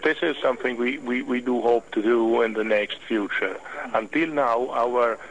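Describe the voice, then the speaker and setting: A man with an Italian accent, a native Italian speaker, answering radio interview questions slowly as he searches for words. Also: mid-range voice, slight background noise, poor audio. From Italy. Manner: halting, searching for words in a second language. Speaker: hobby club official, interview guest.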